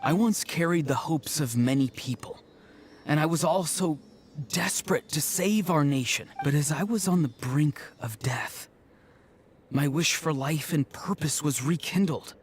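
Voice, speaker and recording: An actor plays a determined young hero with a fairly high male voice. He sounds earnest and heartfelt, with faint ambient noise behind him. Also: a little slow, natural voice. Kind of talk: video game voice acting.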